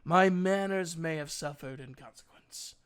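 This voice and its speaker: A man voicing a proud elf mage in a storybook reading, a gruff but kindly mentor with emphatic, old-fashioned speech. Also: fairly high pitch, a little slow, close to an everyday voice. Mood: approving, lecturing.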